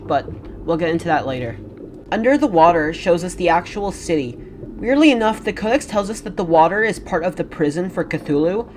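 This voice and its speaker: A woman narrating a game lore video in a loose, rambling way, her throat still rough from a recent cold. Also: on the low side, darkish tone, a little hiss or hum. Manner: rambling, with many "like" fillers and self-corrections. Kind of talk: casual lore narration over gameplay.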